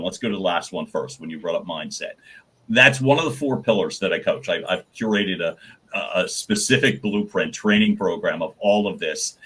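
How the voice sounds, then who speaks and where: A middle-aged man speaking as a communication coach on a podcast, talking energetically and emphatically with a naturally loud voice. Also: lowish voice, breathy edge. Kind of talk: casual podcast conversation.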